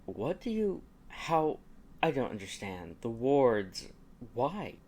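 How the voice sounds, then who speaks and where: A man's voice: medium pitch, very animated, takes it slowly, mellow, heavily acted. Audiobook role: young aristocratic wizard father, haughty and sardonic, dry formal questioning, played by a male narrator. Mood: bewildered, probing. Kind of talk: audiobook character dialogue.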